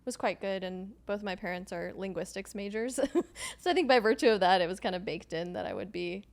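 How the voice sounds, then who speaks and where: Gravelly-voiced Swedish-born woman, hesitant. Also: mid-range voice, expressive, brisk. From Sweden. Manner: thoughtful, hesitant, many ums and likes, laughs often. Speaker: podcast guest. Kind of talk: casual conversational interview.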